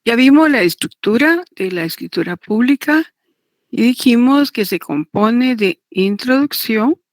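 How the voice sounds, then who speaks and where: A woman giving a didactic lecture, partly read aloud, in a deliberate, repetitive manner. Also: on the high side, lively intonation, dry recording. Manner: deliberate, repetitive, checks understanding with tag questions. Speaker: law lecturer teaching notarial law.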